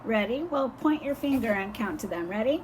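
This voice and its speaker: An older woman teaching a small child outdoors, speaking slowly and patiently with encouragement, her voice going hoarse. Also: fairly high pitch, expressive, a little hiss or hum. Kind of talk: home lesson for young children.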